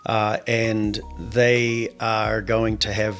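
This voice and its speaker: Middle-aged New man, low voice. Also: drawn-out words, faint music behind. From New Zealand. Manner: steady, advisory, with frequent fillers. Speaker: home inspector guest. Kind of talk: conversational interview.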